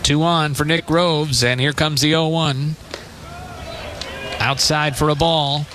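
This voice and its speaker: A man's voice: fairly high pitch, background noise. An American man calling baseball play-by-play on live radio in clipped, punchy phrases. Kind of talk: live radio sports commentary. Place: ballpark broadcast position.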